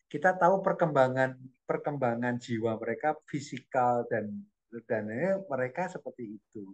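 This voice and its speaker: A middle-aged man from Indonesia, chatting as a guest about his life abroad in an animated way, often repeating phrases while he searches for words. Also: mid-range voice, moderate pace. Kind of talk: casual storytelling chat.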